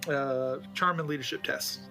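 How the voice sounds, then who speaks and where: A man's voice: medium pitch, normal speed, pushed and bright, soft background music. A man talking casually as a player in a tabletop roleplaying game.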